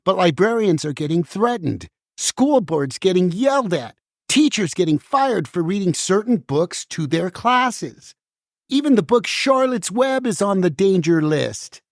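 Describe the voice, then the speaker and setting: A man as a nervy, animated banned book. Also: high-pitched, a little slow, dry recording, clean studio sound, heavily acted. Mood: resigned warning. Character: nervous, fugitive banned book, gossipy and resigned. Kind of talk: musical puppet show stage acting.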